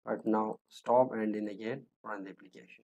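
Man with a halting coding-tutorial delivery. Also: lowish voice, fairly even intonation, a little mellow, poor audio. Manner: halting, many fillers and restarts, thinking aloud. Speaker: programming tutor. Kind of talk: screen-recorded coding tutorial.

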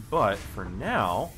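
A man casually narrating his own building game, talking to himself with wry asides and a slightly hoarse voice. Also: on the low side, slight background noise. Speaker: let's play host. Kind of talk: casual gameplay commentary. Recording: voice-over with loud game music behind.

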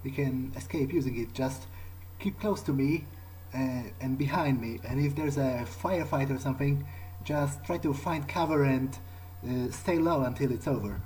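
A man playing a video game and speaking in character, in a halting way that repeats words. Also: mid-range voice, a little mellow, a little hiss or hum, poor audio. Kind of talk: in-character roleplay game commentary. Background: game music.

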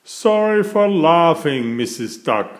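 Male reader as cheeky young girl piglet for a storybook; raised, swooping pitch, roomy. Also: sing-song, slow pace. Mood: playful. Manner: exclaiming in a storybook read-aloud. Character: excitable little girl pig, playful and cheeky.

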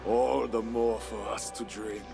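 An actor playing a middle-aged, world-weary and bitter Viking warlord in a video game, brooding and declamatory. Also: male voice, fairly low pitch, flat delivery, measured pace, vocal fry, mellow. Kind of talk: dramatic video game acting. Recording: music behind the voice.

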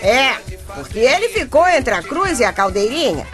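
A woman's voice: high voice, very animated, moderate pace, faint music behind. An actress playing an exasperated, gossipy Brazilian bricklayer's wife who chattily narrates her misfortunes in a radio soap. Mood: chatty, confiding. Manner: chatty, addresses listeners directly. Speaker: narrator and character. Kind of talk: radio soap opera acting, chatty storytelling.

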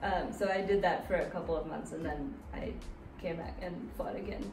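A woman's voice: fairly low pitch, fairly even intonation, a little fast, gravelly. A woman in her twenties with an American accent, answering casually as an interview guest. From United States. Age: 20s. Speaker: interview guest, Muay Thai fighter. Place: small hard tiled room indoors. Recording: echoey tiled room, distant mic, background music.

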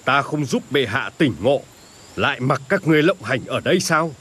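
A man's voice: medium pitch, animated, normal speed, fairly bright tone, slight background noise, close to an everyday voice. Man playing a grave old royal tutor. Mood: stern, reproachful. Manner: grave, reproachful, formal. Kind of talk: animated historical drama dialogue.

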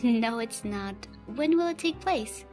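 Woman as a terse, higher-voiced young rancher. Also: animated, a little slow. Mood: decisive. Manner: terse, short replies. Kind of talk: amateur radio play acting. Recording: music behind the voice.

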